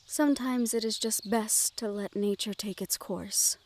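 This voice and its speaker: Gentle, formal young fantasy noblewoman played by a woman. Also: medium pitch, slight background noise, lightly acted. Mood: serene, thoughtful. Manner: polite, formal, measured phrasing. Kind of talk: scripted audio roleplay addressed to the listener.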